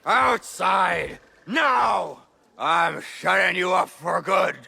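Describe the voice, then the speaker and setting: A rough game thug with a heavily creaking, gravelly voice. He is a drunken brute with a short temper, halting and slow in his speech, with a hard forceful edge. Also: sits high, expressive, full character voice. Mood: belligerent, furious. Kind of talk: video game cutscene acting.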